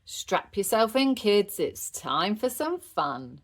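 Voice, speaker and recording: Woman putting on a jokey, flustered dad dog, very animated. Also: medium pitch, slow pace. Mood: cheerful. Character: jokey, easygoing father dog, a bit flustered.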